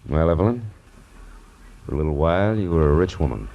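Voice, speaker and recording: An actor playing a hard-boiled, world-weary private detective with clipped, sardonic delivery in a vintage radio drama. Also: male voice, very deep voice, lively intonation, brisk, vocal fry, highs cut off, full character voice. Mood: wry, easygoing. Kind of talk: 1940s radio acting, first-person narration and dialogue.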